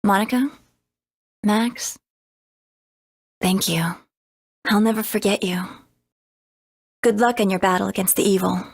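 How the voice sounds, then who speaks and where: An actress playing an earnest, wistful young girl apprentice in a video game, with a soft, breathy voice. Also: mid-range voice, fairly even intonation, measured pace, long pauses, no room sound, clean studio sound. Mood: grateful.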